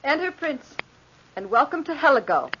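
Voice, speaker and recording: An actress playing an imperious noble countess in an old-time radio drama, gracious and coolly playful with a regal, measured delivery. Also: female voice, high voice, big pitch swings, pushed and bright, a little hiss or hum, exaggerated voice. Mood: regal poise. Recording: dull vintage radio recording.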